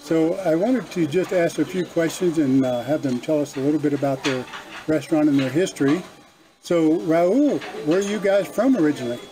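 A man's voice: fairly high pitch, brisk, crowd noise, rough recording. A man interviewing restaurant owners, enthusiastic and upbeat. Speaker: interviewer and host. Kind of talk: casual interview.

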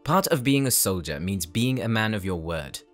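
A man playing a courteous, dry-witted elder brother, an ex-soldier turned barkeeper, in a fantasy audio drama, with measured, polite, wry delivery. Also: lowish voice, lively intonation, a bit bright. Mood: resolute, nostalgic. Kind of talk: anime-style fantasy audio roleplay acting. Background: background music.

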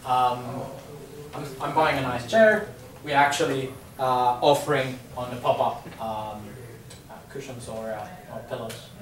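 A man's voice: mid-range voice, measured pace. A man in his thirties with a Finnish accent, giving a casual business talk to a room, repeating words and saying um a lot. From Finland. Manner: chatty, repeats words, many ums. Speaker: presenter. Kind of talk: business presentation. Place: conference room with audience. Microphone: far camera, no mic. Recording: echoey room from far off, faint hum.

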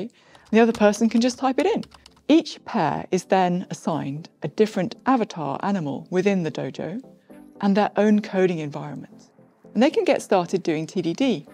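A middle-aged woman coach explains a group coding practice to camera in a clear, friendly way. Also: mid-range voice, mellow. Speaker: software coach. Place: studio with plain backdrop.

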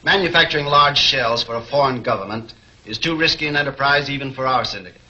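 An older man playing a cold, scheming syndicate boss in a 1940s film serial, brisk and commanding. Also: mid-range voice, bright, forceful tone. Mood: cold, businesslike. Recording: dull, hissy 1940s film soundtrack.

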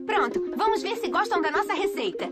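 A woman's voice: extremely high pitch, animated, fairly quick. A woman with a Brazilian accent voicing a brainy, bossy girl scientist in a dubbed cartoon. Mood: businesslike. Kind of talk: animated cartoon dub. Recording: loud cartoon music behind.

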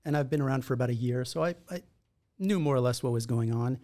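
A man in his forties from Israel, a podcast guest, telling his story in a reflective, measured way at a studio mic. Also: mid-range voice, warm tone. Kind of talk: conversational storytelling. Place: podcast studio in a martial arts gym. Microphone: boom-arm studio mic with headphones.

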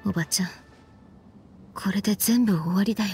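An actress playing a stern, frank young woman in an anime game, speaking in a firm, reproachful, deliberate way. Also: medium pitch, over loud music, close to an everyday voice. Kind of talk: anime game voice acting, dramatic dialogue.

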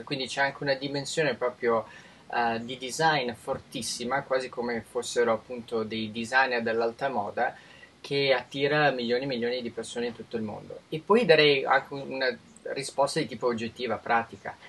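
A man from Italy speaking as a technology expert in an interview. His pitch sits in an ordinary middle range, and he explains patiently and in detail, restarting words now and then as he builds his points. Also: a bit bright, slight background noise. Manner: measured, informative, with frequent restarts. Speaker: tech expert guest.

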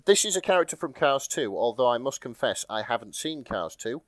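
A man hosts a comic podcast in a casual, wry way. His voice sits at an ordinary mid pitch, recorded dry with hardly any room around it. He explains things patiently and slips into reading aloud. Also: big pitch swings, a little fast, dry recording. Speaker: podcast host reading and recapping fan fiction.

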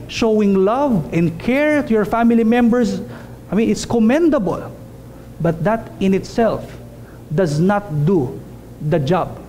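A man's voice: high voice, a little mellow, a little hiss or hum. A man in his thirties preaching a sermon in a small church hall, earnest and emphatic, repeating phrases for stress.

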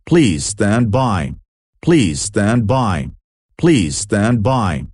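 Low-voiced man, dry emergency notification. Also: sing-song, very slow, a little mellow, slightly rough, dry recording. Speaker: emergency alert announcer. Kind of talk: scripted official alert notification.

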